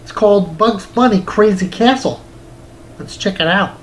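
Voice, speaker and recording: A man hosting a retro game review, reading a wordy script in a measured, presenter-like way. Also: high-pitched, slight background noise. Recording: music bed under the voice. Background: music.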